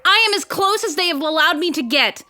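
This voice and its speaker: An actress playing a frightened field news reporter in a 1930s-style radio drama, breathless and dramatic. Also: female voice, very high voice, animated, a little fast, pushed and bright, full character voice. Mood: uneasy.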